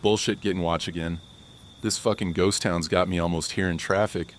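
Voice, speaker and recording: A man's voice: sits low, fairly even intonation, normal speed, a little hiss or hum, close to an everyday voice. A man voicing a grumbling soldier stuck on watch in an audio comic. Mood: grumbling, uneasy. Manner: grumbling, muttered. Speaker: voice actor. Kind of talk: audio comic dub, dramatic dialogue.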